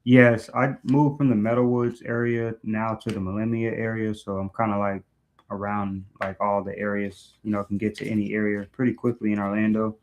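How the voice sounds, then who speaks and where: A man introducing himself on a video call in a relaxed, plain way with a few ums. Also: lowish voice, normal speed, muffled recording, slight background noise. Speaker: new recruit, brand ambassador. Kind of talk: casual Zoom introduction.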